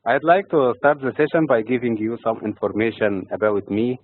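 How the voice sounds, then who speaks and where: A man from Egypt hosting a weekly financial market update, reading dates and figures in a steady, measured way. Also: mid-range voice, no echo. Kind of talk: scripted market commentary. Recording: dry, phone-like narrow sound.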